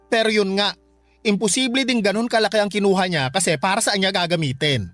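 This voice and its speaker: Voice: mid-range voice, fast, a bit bright, natural voice. With music playing underneath, an actor plays a forgiving, doting Filipino parent in a radio drama. The delivery is coy, campy and full of feeling, with a playful, dramatic flair. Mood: reproachful. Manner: playful, campy, emotional, slang-laden.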